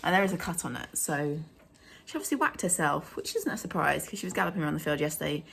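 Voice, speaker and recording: A young woman with a croaky voice, chatting casually in a rambling way as she vlogs about her horse. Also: low voice, animated, quick pace, a bit bright, slight background noise. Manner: chatty and rambling, laughs often. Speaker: vlogger, horse owner. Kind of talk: casual vlog chat. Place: outdoors at a stable yard, then in a car.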